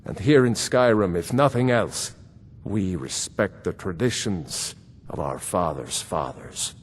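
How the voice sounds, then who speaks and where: A man voicing a stern, loyal steward of a fantasy court in a video game, speaking formally and with measured admonishment. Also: sits low, animated. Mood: stern, rebuking. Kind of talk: scripted game dialogue. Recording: long hall reverb.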